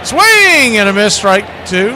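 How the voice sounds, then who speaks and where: Man, higher-pitched voice, live baseball commentary, conversational, wry, noisy outdoor background. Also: very animated, takes it slowly. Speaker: play-by-play radio announcer.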